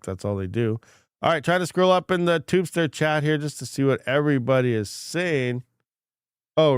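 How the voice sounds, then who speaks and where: A man's voice: mid-range voice, smooth, no room sound, studio quality. Congested man hosting a casual livestream. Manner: rambling, frank, many fillers and restarts. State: congested, recovering from lost voice. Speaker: crypto mining livestream host. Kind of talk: casual livestream chat reading comments.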